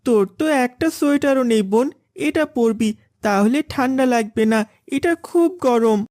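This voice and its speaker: A woman voicing an earnest, eager young boy in an animated moral story. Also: fairly high pitch, normal speed, dry recording, studio quality, close to an everyday voice. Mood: affectionate, eager. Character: young boys: spoiled eager son and gentle poor elder brother.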